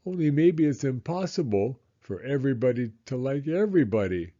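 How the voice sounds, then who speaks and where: A man's voice: mid-range voice, very animated, mellow, lo-fi sound, natural voice. A man voicing a hurt, glum young boy hero from a storybook in a plaintive way. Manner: plaintive, drawn-out.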